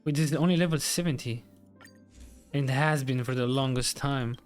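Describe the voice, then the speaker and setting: A man's voice: medium pitch, moderate pace. A man doing casual live gameplay commentary, muttering his thoughts aloud to himself as he plays. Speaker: game streamer. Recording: voice over faint game music. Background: faint game music.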